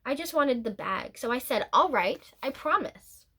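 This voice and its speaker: A woman reading a picture book aloud as a teacher would, in a deliberate, expressive storytelling manner with drawn-out words and pauses. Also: high-pitched. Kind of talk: picture-book read-aloud, scripted narration with brief asides.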